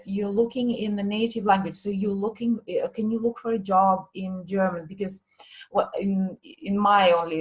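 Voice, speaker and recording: A woman's voice: medium pitch, rough recording. A woman presenting a teaching course in a live online question session, chatty and hesitant, with many fillers and restarts. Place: Limassol.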